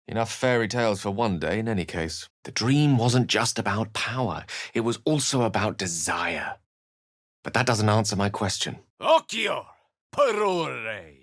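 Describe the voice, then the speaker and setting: Learned male wizard, video game, eloquent, wry, courteous, melancholy, highly varied intonation, dry studio sound. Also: sing-song, measured pace, no room sound. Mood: incantatory. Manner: articulate, theatrical, bookish phrasing. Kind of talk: video game character lines and narration.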